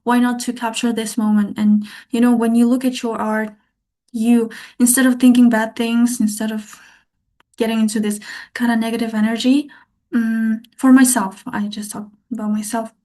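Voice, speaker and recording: A woman's voice: fairly high pitch, level tone, moderate pace, warm tone. A young woman artist from Kazakhstan, talking reflectively as a podcast guest. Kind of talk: podcast interview.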